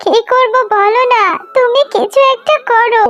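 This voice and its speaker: A woman's voice: very high voice, fairly even intonation, measured pace, fairly bright tone, faint music behind. A woman voicing a distraught, plaintive jackal mother in a melodramatic cartoon, fearful and pleading for her child. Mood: despairing.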